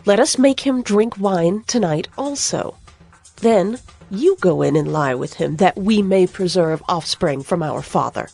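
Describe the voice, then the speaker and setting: A woman's voice: lowish voice, animated, unhurried, creaky, dark tone, husky, faint music behind, exaggerated voice. Urging, scheming young biblical daughter played by a woman. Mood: conspiratorial urging. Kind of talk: dramatized scripture reading.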